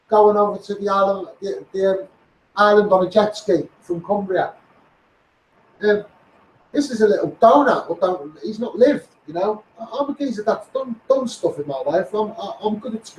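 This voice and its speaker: Male Scouse campaigner, high voice, megaphone; emphatic repetitive rant, distant from the mic with echo. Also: darkish tone. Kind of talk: impassioned monologue rant. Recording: echoey, distant, noisy megaphone recording.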